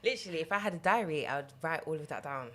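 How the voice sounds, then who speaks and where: Brief: young woman radio presenter, very low, deep voice, lively and chatty, laughs easily. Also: rapid-fire. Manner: animated, laughs often, drops into whispers. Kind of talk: casual podcast chat. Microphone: headset.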